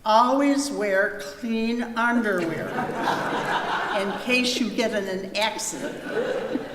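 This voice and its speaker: A woman's voice: medium pitch, slow. A woman reciting short sayings in a theatrical readers theater style. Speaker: readers theater performer at church. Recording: echoey church hall, faint noise.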